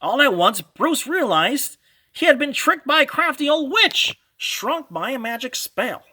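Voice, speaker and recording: A man narrating a children's storybook read-along in a dramatic, expressive, animated way. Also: extremely high pitch, measured pace, slight background noise.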